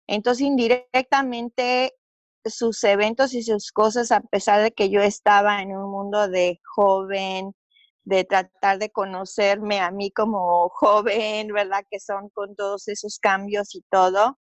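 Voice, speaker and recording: A woman's voice: mid-range voice, level tone, a little slow, dry recording. A middle-aged woman from Mexico with a Mexican Spanish accent, telling her story earnestly as an interview guest, with fillers and restarts.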